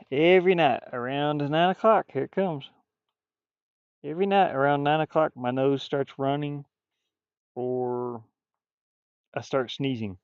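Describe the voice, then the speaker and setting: A man chatting casually on a livestream while drawing, rambling and muttering to himself, with bursts of loudness. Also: a little high, unhurried, pauses often, smooth, no echo, muffled recording. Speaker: streamer drawing digital art.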